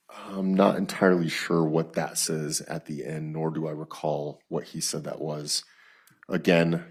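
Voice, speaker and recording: Very deep, gravelly male detective testifying. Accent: American. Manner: halting, formal, matter-of-fact. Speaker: police detective testifying as a witness. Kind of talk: courtroom testimony. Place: courtroom.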